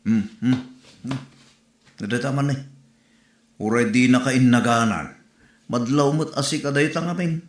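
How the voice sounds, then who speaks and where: A man's voice: medium pitch, a little slow, pauses often, close to an everyday voice. A man playing a disapproving, grumbling relative in an Ilocano comedy drama, with an Ilocano accent. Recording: roomy echo, faint music.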